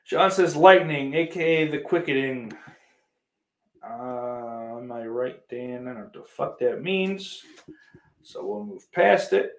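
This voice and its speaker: A man's voice: medium pitch, slow pace. A man livestreaming in casual chat, rambling and swearing freely while reading out messages from his viewers. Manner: profane, rambling, self-deprecating. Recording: roomy echo.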